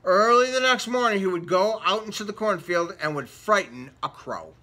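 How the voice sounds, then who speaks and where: High-pitched, animated male storybook reader. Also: moderate pace. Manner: dramatic, stretching and repeating words for effect. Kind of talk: animated read-aloud for children.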